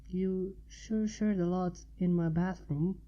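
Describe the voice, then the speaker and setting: A woman's voice: on the low side, steady tone, slow pace, warm tone, dull audio. A woman voicing a flustered, bashful female unicorn who mumbles and stammers in a story reading. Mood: quiet, awkward.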